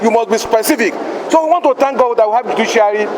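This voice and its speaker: Very high-pitched Nigerian man outdoors. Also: fast. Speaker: political supporter. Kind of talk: impromptu press statement. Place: outdoors near traffic. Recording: noisy outdoor street with traffic.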